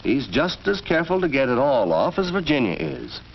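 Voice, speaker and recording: A man's voice: fairly high pitch, big pitch swings, normal speed, vocal fry. An American man narrating a 1940s educational film from a script, in an instructive, storytelling manner. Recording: dull vintage film soundtrack, faint noise.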